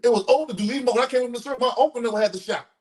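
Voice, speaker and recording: Loud, fast-talking man in his fifties. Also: extremely high pitch. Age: 50s. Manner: preachy, repeats his points to drive them home. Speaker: podcast host. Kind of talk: casual podcast chat.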